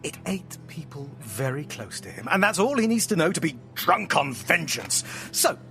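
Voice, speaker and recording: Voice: mid-range voice, big pitch swings, moderate pace, vocal fry, bright, forceful tone, faint music behind, heavily acted. An actor in an audio drama playing a playful, theatrical storyteller who narrates with grand melodrama and teasing asides. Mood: mock-grand. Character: playful, theatrical storyteller friend spinning a myth at home.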